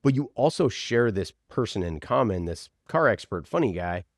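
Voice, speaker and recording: A man being interviewed, explaining ideas in an informal, halting way as he thinks aloud through examples. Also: on the low side, very animated, no room sound, studio quality. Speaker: interview guest, podcast producer. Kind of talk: conversational explaining.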